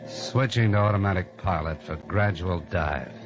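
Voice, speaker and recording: An actor playing a hard, uneasy male smuggler pilot, gruff in manner, in 1940s radio acting. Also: low-pitched, moderate pace, over music. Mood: protesting. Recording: muffled old radio broadcast with hiss.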